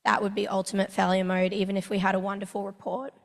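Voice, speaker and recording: A woman physician and researcher speaking on a conference panel, hoarse from losing her voice, earnest and energetic with self-deprecating asides. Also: medium pitch, fast talker. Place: large conference hall. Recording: long hall reverb.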